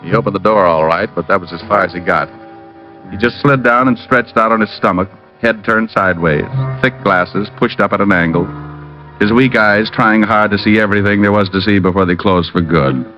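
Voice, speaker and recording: An American actor playing a wisecracking, world-weary private detective who also narrates a vintage radio drama, flippant and sardonic. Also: male voice, on the low side, brisk, pushed and bright, faint music behind. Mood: wry, weary narrating. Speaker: lead and narrator. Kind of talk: 1940s-50s radio detective acting and narration. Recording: muffled old radio recording.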